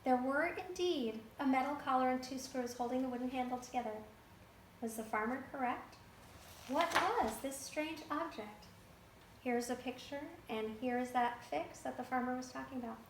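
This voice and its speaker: A woman reading a folktale aloud to a child, lively and stopping to ask the listener questions. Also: sits high, normal speed, roomy sound, background noise. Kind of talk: reading a picture book to a child.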